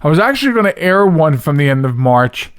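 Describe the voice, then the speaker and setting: Guy hosting a podcast, slightly high clear voice, chatty and rambly, dry close sound. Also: big pitch swings, a little fast, no echo. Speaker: podcast host. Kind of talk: casual solo show introduction.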